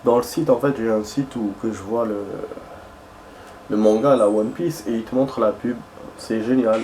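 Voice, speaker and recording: A man's voice: on the low side, fairly even intonation, moderate pace, mellow, slight background noise. Young man rambling over his gameplay. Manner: rambling, hesitant, many fillers and restarts. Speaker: gaming streamer. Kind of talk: casual chat while playing.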